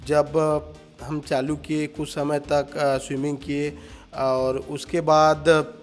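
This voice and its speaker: A man's voice: fairly high pitch, little pitch movement, slow, soft background music. A man giving informal, hesitant interview answers as a swimming coach. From village in Chhattisgarh, India.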